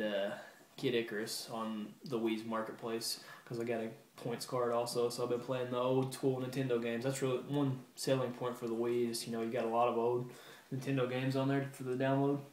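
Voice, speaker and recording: A man's voice: mid-range voice, little pitch movement, a little fast. Laid-back man vlogging in an echoey room. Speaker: video game collector vlogger. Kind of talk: casual show-and-tell update to viewers. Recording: roomy echo with background hiss.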